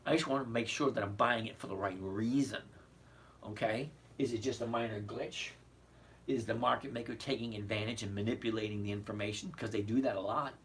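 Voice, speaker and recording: A man hosting a casual stock-trading livestream, his voice hoarse and cracking as he chats with viewers and keeps asking "okay?". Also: on the low side, steady tone, brisk, fairly bright tone. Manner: chatty, repeats "okay?", answers viewers. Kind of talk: casual livestream market commentary. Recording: distant mic with faint background noise.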